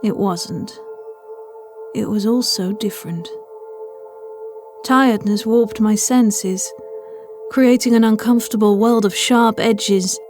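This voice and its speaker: A woman's voice: mid-range voice, slow, long pauses, a little mellow, soft background music. A woman narrating a scripted first-person horror story as an audio fiction reader.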